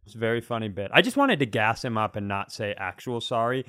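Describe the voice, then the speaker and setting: A man's voice: fairly low pitch, very animated, fast talker, pushed and bright, dry recording, clean studio sound. A man co-hosting a comedy podcast, bantering casually in a wry, mock-serious way.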